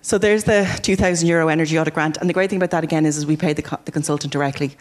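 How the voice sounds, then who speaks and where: Quick pace, flat delivery, explanatory, agency representative. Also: medium pitch. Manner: brisk, explanatory. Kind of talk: explaining grants in an interview.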